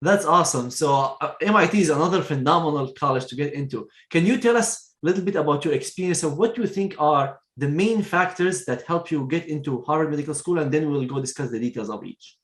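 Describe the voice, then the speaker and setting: A man hosts a video interview, praising his guest with plenty of enthusiasm. His voice sits a bit high. The sound bounces around an echoey room. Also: brisk, few pauses, a bit bright. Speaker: host.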